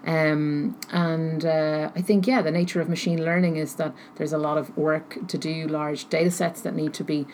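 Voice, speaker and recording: A woman answering interview questions thoughtfully, pausing often as she searches for her words. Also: sits low, level tone, a little mellow. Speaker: interview guest, technology researcher. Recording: noticeable background noise.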